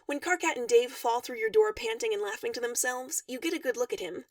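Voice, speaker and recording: A woman giving an expressive, animated read-aloud of a story, acting out the dialogue. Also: high-pitched, a little fast, vocal fry, dry recording, studio quality, far from the mic. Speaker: fan fiction reader.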